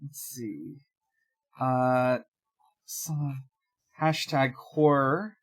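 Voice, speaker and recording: A podcast co-host chatting casually in a halting, rambling way. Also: mid-range voice.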